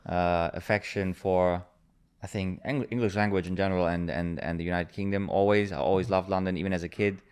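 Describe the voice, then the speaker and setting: A man from Germany talking as a guest, telling relaxed stories with many fillers and easy laughs. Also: low voice, fairly quick, hardly any pauses, smooth. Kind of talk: casual interview chat.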